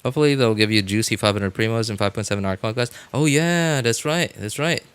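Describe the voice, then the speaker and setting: A man's voice: medium pitch, brisk, a bit bright, smooth. A man live-streaming a game with casual commentary, chatting to viewers in a rambling way, often repeating and rephrasing himself.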